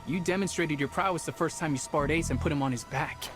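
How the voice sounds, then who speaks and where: A young man playing a stern, dutiful Spartan cadet with a formal, measured delivery in a video game. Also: fairly high pitch, lightly acted. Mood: earnest praise. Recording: game music behind the voice.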